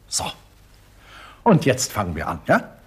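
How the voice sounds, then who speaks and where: Ein Schauspieler spielt in einem deutschen Hörspiel einen glatten, sadistischen Schurken, der spöttisch und genüsslich spricht. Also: male voice, fairly low pitch, wildly swooping pitch, unhurried, a little hiss or hum. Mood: mocking. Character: suave sadistic villain, middle-aged man, mocking. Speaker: villain Sir Bogomil. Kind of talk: German radio drama acting.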